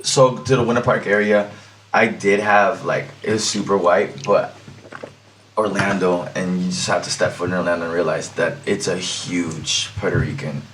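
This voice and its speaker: A young man from Florida chatting casually as a guest, relaxed and quick to laugh, with lots of filler words. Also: low-pitched, far from the mic, slight background noise, low-quality recording. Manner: relaxed, laughs easily, says "like" a lot. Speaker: guest musician. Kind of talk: casual interview chat.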